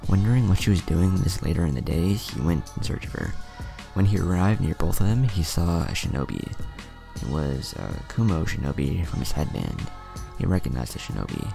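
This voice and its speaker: Deep, gravelly male storyteller. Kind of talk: reading a fan story aloud.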